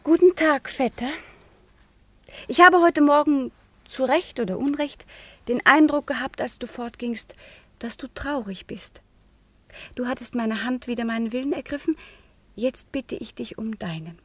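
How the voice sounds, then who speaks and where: High-voiced, earnest young noblewoman. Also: measured pace, pauses often, fairly bright tone, no echo. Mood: composed. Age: young adult. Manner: probing, composed, direct. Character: earnest, proud young noblewoman bound for convent. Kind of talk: theatrical radio play acting.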